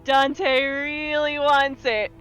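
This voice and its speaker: A woman's voice: high voice, level tone, drawn-out words, fairly bright tone, faint music behind. A woman chatting casually out of character as a player in a tabletop roleplaying game.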